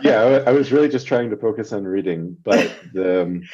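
A man's voice: fairly low pitch, lively intonation, brisk, darkish tone, slightly rough, muffled recording. A man reading and talking as a guest story reader, in narration and casual discussion.

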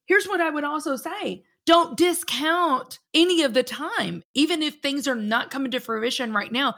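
A woman's voice: sits high, moderate pace, sharp tone. A woman hosting a podcast interview, enthusiastic and encouraging toward her guest. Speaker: podcast host. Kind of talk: casual interview talk.

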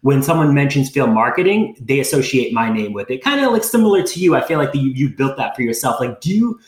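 A man's voice: mid-range voice, lively intonation, quick pace. Upbeat male podcast host, roomy sound. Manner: upbeat, stammers on restarts. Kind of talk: casual interview hosting. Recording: echoey room.

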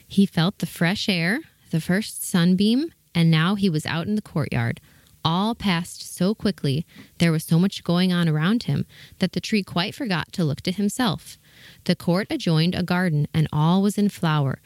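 A woman reading a scripted children's story as narrator, in a measured, expressive manner. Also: on the low side, dry recording.

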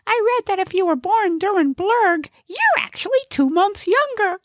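A woman's voice: very high-pitched, animated, normal speed, no echo, studio quality, voice pushed up. A woman voicing a bossy, cranky small male alien in an audiobook, talking brashly in folksy slang with mangled big words. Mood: bossy, matter-of-fact. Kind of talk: audiobook character voice.

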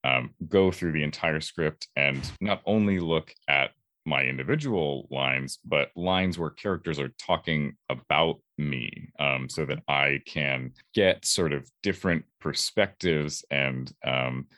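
A man giving a reflective interview as a voice actor, thoughtful and measured, often halting as he picks his words. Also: a bass voice, pushed and bright, dry recording.

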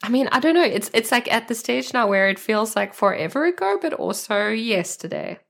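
A woman's voice: on the high side, lively intonation, normal speed, creaky. A woman with a South African accent chatting as a podcast guest, thinking aloud as she talks. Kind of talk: casual interview chat.

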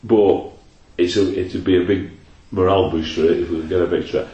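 A man's voice: low voice, fairly even intonation, fairly quick, lo-fi sound. A man hosting a casual football chat, rattling off stats and tag questions. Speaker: podcast host. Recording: echoey room, faint noise.

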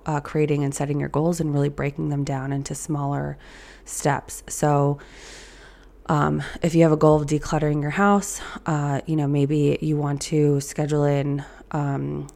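A woman hosts her podcast in a loose, chatty way, talking on with plenty of ums and restarts. Her voice is low, raspy and gravelly with fry, hoarse after being ill. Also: darkish tone. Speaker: podcast host. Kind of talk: casual solo podcast talk.

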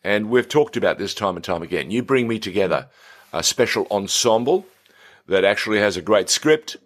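A man's voice: on the low side, lively intonation, moderate pace. A middle-aged man, a playful, teasing podcast co-host who chats casually. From Australia. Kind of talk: casual chat.

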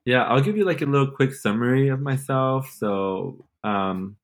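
A man's voice: medium pitch, normal speed. A man in his twenties from Mexico, Los Angeles and Nashville, talking casually as a podcast guest in an informal, playful, slangy way. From born in Mexico, grew up in Los Angeles and Nashville. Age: 20s. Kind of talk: casual conversational interview.

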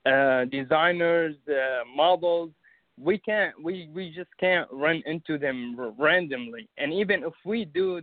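A man's voice: a little high, dry recording. A young man with an Arabic accent, a guest in a casual interview, talking hesitantly with many fillers and restarts. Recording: phone-like narrow sound, dry.

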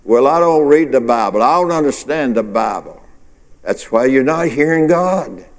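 A man's voice: mid-range voice, lively intonation, normal speed, in a large hall. A male pastor preaching a sermon, asking the congregation questions and answering them himself. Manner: preacherly call-and-response, rhetorical questions.

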